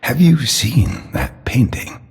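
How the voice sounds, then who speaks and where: An actor playing an old, fatherly puppet maker in a video game, tender yet menacingly obsessive, speaking in a theatrical, solemn, measured way. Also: medium pitch, huge pitch swings, darkish tone, full character voice. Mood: gentle, musing. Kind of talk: dramatic video game character acting. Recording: long cavernous reverb.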